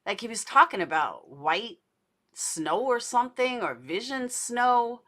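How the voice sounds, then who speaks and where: A woman's voice: a little high, big pitch swings, measured pace, pushed and bright. A woman giving casual livestream commentary in a blunt, indignant, sweary manner, as host of a true crime show.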